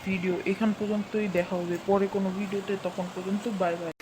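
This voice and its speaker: A good-natured, slow-witted cartoon uncle, played by a woman stumbles through riddles in a Bangladeshi Bengali accent, drawing out hesitant sounds. The voice sits at a middling pitch. A clear layer of noise runs under the recording. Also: flat delivery, lightly acted. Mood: bumbling. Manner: hesitant, drawn-out thinking sounds. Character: easygoing bumbling middle-aged uncle. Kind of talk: cartoon dubbing. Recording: noisy home recording.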